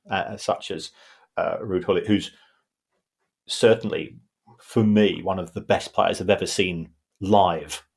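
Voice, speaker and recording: A man's voice: on the low side, gravelly, slightly rough. A man co-hosting a podcast, explaining football finance in a casual, talky way, often restarting his sentences. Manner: explanatory, many restarts and repeated words. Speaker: football finance pundit, co-host.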